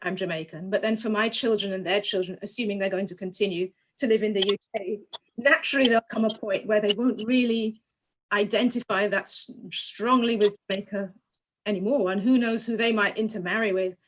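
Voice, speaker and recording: A woman's voice: mid-range voice, steady tone, fairly quick, low-quality recording. A woman from London on a discussion panel, speaking conversationally and acting out little exchanges as she talks. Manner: conversational, acts out quoted exchanges.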